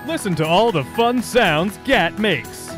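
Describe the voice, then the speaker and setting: A man's voice: sits high, animated, takes it slowly, music in the background. A man playing a salesy announcer in a parody toy commercial, leaning hard on his words. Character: peppy male announcer of an absurd toy commercial.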